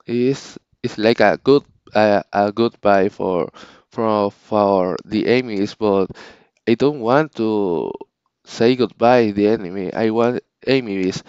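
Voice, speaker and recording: A man whose first language is Spanish, talking casually to his channel's viewers in halting English, often restarting and searching for words. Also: on the low side, a little mellow, smooth, no echo, highs cut off. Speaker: channel owner. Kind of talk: casual unscripted vlog talk.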